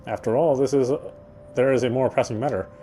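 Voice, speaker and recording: A man's voice: medium pitch, fairly even intonation, quick pace, mellow, faint music behind. A man reading a visual novel's narration aloud as he plays, now and then stumbling and rereading phrases.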